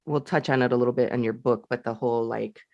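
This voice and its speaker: A woman's voice: a bass voice, steady tone, quick pace, dry recording, lo-fi sound. A woman hosting a podcast, interviewing in a casual, conversational way.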